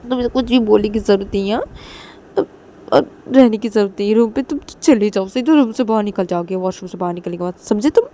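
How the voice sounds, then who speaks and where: Woman doing a furious young woman, shrill. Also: normal speed, husky. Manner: shouting, pleading, tearful. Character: furious young woman, sister, shouting and sobbing.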